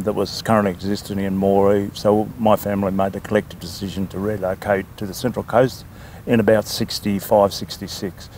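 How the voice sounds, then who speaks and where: Gravelly older Australian man, unhurried. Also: on the low side, darkish tone, slight background noise. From New South Wales, Australia. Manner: talkative, unhurried, with frequent ums. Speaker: land council chairman, interview guest. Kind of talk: casual interview.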